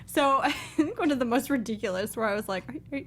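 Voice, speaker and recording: Female podcast co-host, slightly high voice, casual review banter, some background noise. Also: expressive, a little fast. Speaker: talk show host.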